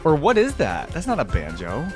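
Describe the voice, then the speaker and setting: A man's voice: on the high side, huge pitch swings, moderate pace, music in the background. A man giving enthusiastic, informal reaction commentary as the host of a fan channel.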